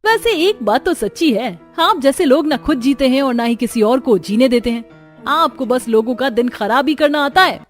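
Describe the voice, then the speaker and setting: A woman voicing a caring, outspoken woman of a cartoon family, plain-spoken and expressive. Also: sits high, moderate pace, soft background music. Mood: scolding.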